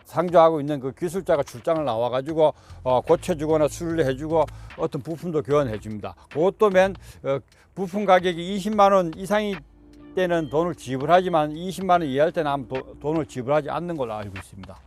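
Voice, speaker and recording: A man's voice: a little high, expressive, fast, soft background music. A middle-aged man talks directly to viewers. He explains things informally and politely, circling through his points in folksy, colloquial phrasing. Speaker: video host giving farming tips.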